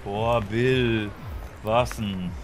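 A man's voice: on the low side, fairly even intonation, drawn-out words, background noise. A man playing a dim, sluggish young petty thief in an audio drama, drawling in slang. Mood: dull, bemused.

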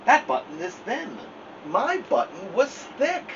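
A man's voice: sits high, sing-song, measured pace, pitched above the performer's own voice. A man doing a whiny, fussy storybook toad. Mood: complaining. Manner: whiny, complaining. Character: fussy, easily upset male toad. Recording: distant mic, dull lo-fi sound, air-conditioner hum.

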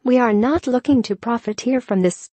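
A woman's voice: fairly high pitch, normal speed, dark tone, no echo. A woman reading a scripted news article in an impersonal, neutral way, with a synthetic text-to-speech sound.

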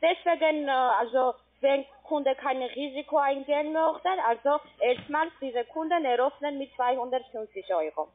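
A high-pitched woman works through a phone sales pitch. She is a non-native German speaker with a foreign accent and keeps adding little checking questions. The sound is narrow and muffled, like a telephone call. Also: normal speed, hardly any pauses. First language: not German. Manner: frequent checking tag questions. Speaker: call centre sales agent.

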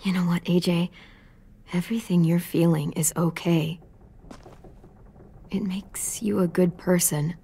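A woman playing a weary, protective teenage girl survivor in a video game, speaking in a gentle, steady, parental way. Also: fairly low pitch, unhurried, gravelly, long pauses, slightly rough, heavily acted. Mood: tender, reassuring. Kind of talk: naturalistic video game acting.

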